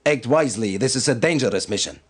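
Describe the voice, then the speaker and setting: A stern military commander briefing his officers in a video game. His mid-range male voice is solemn and devout. He speaks formally and deliberately, as one used to giving orders. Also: mid-range voice, lively intonation, husky. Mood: grave, measured.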